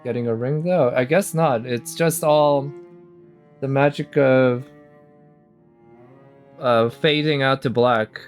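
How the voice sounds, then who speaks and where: Male game streamer, casual and reflective, unhurried delivery over light game music. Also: mid-range voice. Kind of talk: casual live gameplay commentary.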